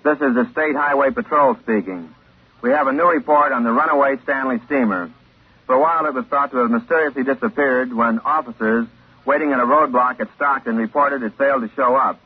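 An actor playing a sober highway patrol announcer reading formal bulletins in a 1950s radio drama, with a husky voice. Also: male voice, brisk. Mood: official, sober. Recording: thin, tinny 1950s radio recording.

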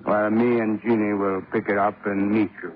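A man playing a menacing gangster boss in an old radio crime play. The voice is very low, smug and commanding, with mock politeness. The vintage recording has a narrow, tinny band. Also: flat delivery, normal speed, natural voice. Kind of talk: 1950s radio drama acting.

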